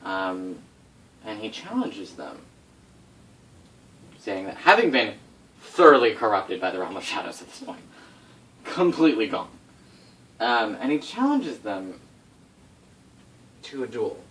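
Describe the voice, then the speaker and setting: Guy game master telling lore story, super animated pitch, rambly and casual, bit far from the mic. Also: measured pace, pauses often. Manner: casual, rambling, swears, corrects himself. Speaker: game master recounting setting history to players. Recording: distant mic with faint background noise.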